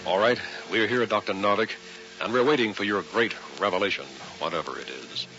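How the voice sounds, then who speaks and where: A man's voice: fairly low pitch, a little fast, over music, close to an everyday voice. A man playing a stern, skeptical town mayor, formal and wary, in a vintage radio drama. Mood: skeptical, challenging. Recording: muffled vintage radio recording.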